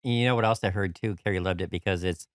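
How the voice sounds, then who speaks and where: A man from New York hosts a casual podcast interview, full of eager praise and filler phrases. His voice sits on the low side for a man. The sound is close and dry, with no room echo. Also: quick pace. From New York City. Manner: upbeat, effusive, many "you know"s. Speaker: podcast host.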